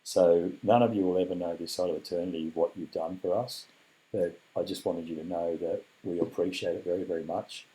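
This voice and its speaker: A man's voice: deep voice, fairly even intonation, a little fast, warm tone. An Australian man with a scratchy, weakened voice, giving a rambling, earnest video update full of ums and thanks. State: weak, recovering from illness. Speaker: cancer patient giving an update. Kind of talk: casual heartfelt video update.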